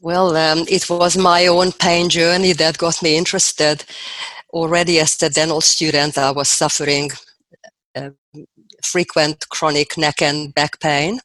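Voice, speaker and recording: A woman's voice: deep voice, flat delivery, a little slow, fairly bright tone, no echo, low-quality recording. An older woman from Hungary with a Hungarian accent, a guest dentist telling her story in an earnest, reflective way in a podcast interview.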